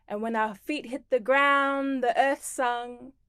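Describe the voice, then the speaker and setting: A woman from the Torres Strait Islands and Tonga performs spoken word poetry in a slow, deliberate way. Her voice sits a little high and sounds smooth and crisp. The recording is close and dry. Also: fairly even intonation, a bit bright, no echo. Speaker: performing poet.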